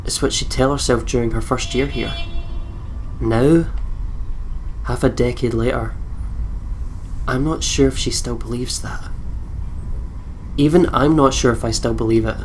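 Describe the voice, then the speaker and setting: A man's voice: medium pitch, fairly even intonation, a little slow, long pauses, rough recording. A man with a Scottish accent hosting a let's play, reading game narration aloud and chatting casually. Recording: faint background noise.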